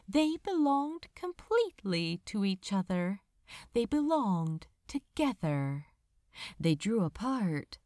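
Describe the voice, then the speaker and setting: A woman narrates fiction in a measured, dramatic way, taking her time over each phrase. Her pitch moves expressively through the lines, and the sound is dry, with no room around it. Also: a little mellow, no room sound. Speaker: audiobook narrator of fan fiction. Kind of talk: scripted story narration.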